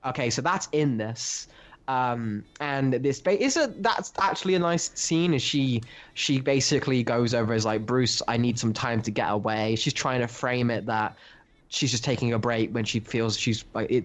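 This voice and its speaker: A man co-hosting a podcast, talking casually through comic books in a halting, rambling way. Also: medium pitch. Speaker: podcast co-host reviewing comics. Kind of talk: casual chat, comic discussion.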